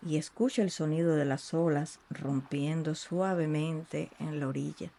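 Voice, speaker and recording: A meditation guide speaking in a soothing, unhurried way with long pauses between short phrases. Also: medium pitch, steady tone, vocal fry, mellow, a little hiss or hum. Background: ambient sea sounds.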